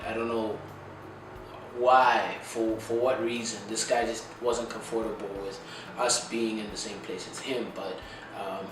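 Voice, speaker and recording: A man's voice: on the low side, recorded from a distance. A young man from Zimbabwe, an international student, answering interview questions thoughtfully with many fillers. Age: young adult. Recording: echoey room, heard from afar with noise.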